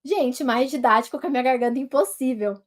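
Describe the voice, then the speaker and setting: A woman doctor with a Brazilian Portuguese accent teaching telemedicine over a webcam, nasal and congested from a cold, talking to her viewers in a lively, direct way. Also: high-pitched, fairly quick. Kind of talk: online medical lesson, demonstrating on herself.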